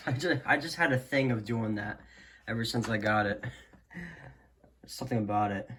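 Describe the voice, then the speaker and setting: A man's voice: lowish voice, normal speed. A man giving a casual, unscripted product demo in a rambling, hesitant way, often trailing off into "so yeah". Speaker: hobbyist video maker. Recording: clearly noisy amateur home recording.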